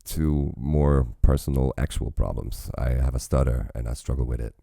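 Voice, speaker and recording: A man's voice: a bass voice, steady tone, moderate pace, gravelly, mellow, no echo, studio quality. A man in his forties giving casual, rambling commentary while reacting to a music video, thinking aloud with frequent restarts.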